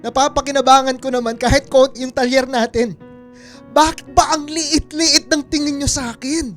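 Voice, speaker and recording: A woman's voice: sits high, fairly even intonation, moderate pace, soft background music, close to an everyday voice. A woman acting a hurt, suspicious Filipino husband in a radio drama, pleading and reproachful. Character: wounded, suspicious husband, a struggling small-shop mechanic.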